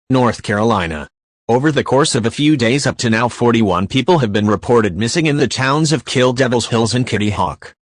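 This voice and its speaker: Man as local TV news anchor: composed, slightly low voice, dry studio-like sound, scripted read. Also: no echo.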